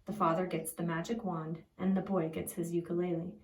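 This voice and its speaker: A woman reads a picture book aloud as a preschool teacher, simply and expressively, pausing to put questions to young listeners. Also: fairly low pitch, little pitch movement, slight background noise. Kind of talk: read-aloud storytelling with questions to young children. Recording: echoey room, distant from the mic.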